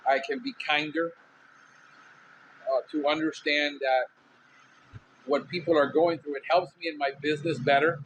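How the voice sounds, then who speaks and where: Loud, outspoken man with a Cuban Miami accent. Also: a little high, level tone, normal speed, pauses often, slight background noise, poor audio. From Miami, USA. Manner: smoking a cigar while talking. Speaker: podcast host. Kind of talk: casual monologue.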